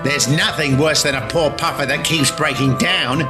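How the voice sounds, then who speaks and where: Villainous cartoon diesel, acted by a man: devious bully, mocking, raised pitch, set over music. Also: normal speed, lightly acted. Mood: sly taunting. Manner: mocking, sly. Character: sneering, devious male diesel engine, taunting bully. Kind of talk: cartoon-style character dub.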